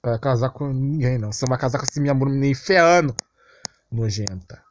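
A man's voice: medium pitch, a little fast, a little hiss or hum. A young Brazilian man giving a casual, slangy, unscripted rant in an exasperated, complaining way. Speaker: anime commentary vlogger. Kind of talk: casual unscripted ranting commentary.

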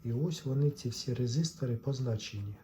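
A man giving an informal technical tutorial, explaining step by step in a halting way. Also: mid-range voice, dark tone, a little hiss or hum. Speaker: electronics repair hobbyist presenter.

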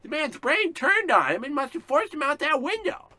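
A woman's voice: sits high, big pitch swings, moderate pace, bright, forceful tone, no room sound, full character voice. A woman playing a matter-of-fact police officer in a parody of old radio drama.

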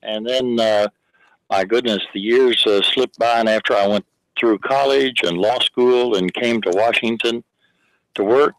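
A man's voice: mid-range voice, a little slow, no room sound, poor audio. An older man calling in to a radio show, telling a rambling, fond story about an old friend. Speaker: caller. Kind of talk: phone-in call.

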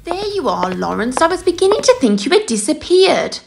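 A woman's voice: high voice, very animated, moderate pace, gravelly, noisy background, exaggerated voice. A woman playing a poised, teasing young society lady in a 1930s radio drama. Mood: teasing, gracious.

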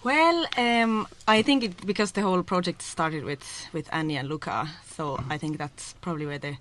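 A Finnish woman chatting in English in a radio studio, her voice bright and forward. She talks loosely, filling gaps with like, over a faint background hum. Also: on the low side, normal speed, rough recording. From Finland. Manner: casual, says like often. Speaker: musician guest.

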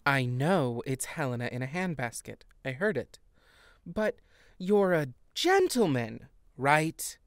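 A woman's voice: very deep voice, big pitch swings, slow, no room sound, studio quality, heavily acted. An actress playing an exasperated fairy queen with a sarcastic, cutting manner in a modern audio drama. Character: exasperated, sardonic fairy queen, sharp-tongued bickering wife.

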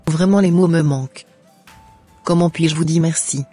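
Man voicing an earnest, husky young cartoon woman. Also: a little high, steady tone, moderate pace, warm tone, close to an everyday voice. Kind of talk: scripted cartoon dialogue. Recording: music under the voice.

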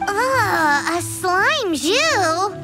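A woman voicing a tiny childlike fairy companion in a video game, squeaky and cute, with exaggerated reactions. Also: big pitch swings, extremely slow, over loud music, heavily acted. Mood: dubious. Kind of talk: cartoonish video game voice acting.

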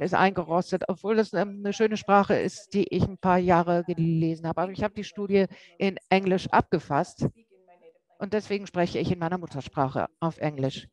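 A woman interpreting a lecture live, speaking haltingly and often correcting herself mid-word. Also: lowish voice, a little mellow, rough recording. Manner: halting, with frequent self-corrections. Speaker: simultaneous interpreter.

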